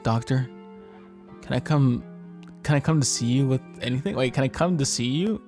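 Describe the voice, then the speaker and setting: A man's voice: medium pitch, moderate pace, darkish tone, faint music behind. A man chatting and joking as he reads a visual novel aloud, often breaking off to restart. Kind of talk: casual gaming chat and read-aloud.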